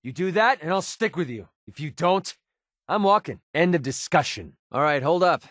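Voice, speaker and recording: An actor playing a guarded, wounded mercenary in a video game, sarcastic and quick-tempered but loyal, speaking in a plain, earnest, edgy way. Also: male voice, on the high side, lively intonation, a bit bright, no room sound, studio quality. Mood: exasperated, confrontational. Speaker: companion character in a video game. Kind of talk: naturalistic video game voice acting.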